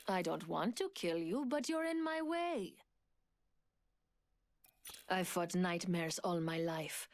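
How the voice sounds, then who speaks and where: An actress playing a poised, confident, seductive thief in a video game, with cool, teasing delivery. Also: female voice, on the low side, big pitch swings, slow, pauses often, heavily acted. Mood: cool challenge. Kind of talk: video game character voice lines.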